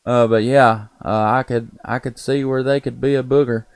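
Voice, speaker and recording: A man's voice: medium pitch, fairly even intonation, moderate pace, smooth. A man with a Southern US accent making folksy, casual conversation as a ham radio operator. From Tennessee, United States. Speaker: amateur radio operator and live streamer.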